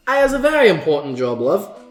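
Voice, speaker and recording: A woman's voice: fairly low pitch, sing-song, brisk, echoey room, soft background music, pitched below the performer's own voice. A woman voicing a mischievous, scrawny fairy man with a chirpy, matey in a storybook reading. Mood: proud, conspiratorial. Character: mischievous, cheeky, gap-toothed, scrawny fairy man from London.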